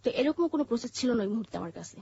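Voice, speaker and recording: A woman with a Bangladeshi accent telling her life story in an interview, haltingly, with pauses and repeated phrases. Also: a little high, animated, creaky, mellow, a little hiss or hum. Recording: muffled, dull lo-fi audio.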